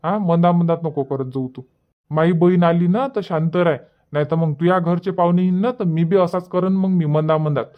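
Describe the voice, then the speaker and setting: Man as a high-voiced exasperated village husband. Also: fairly even intonation, normal speed, lo-fi sound, natural voice. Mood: scolding. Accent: Varhadi Marathi from Vidarbha. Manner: scolding, blunt. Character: exasperated village husband, protective of his sister. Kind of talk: dubbed cartoon comedy dialogue.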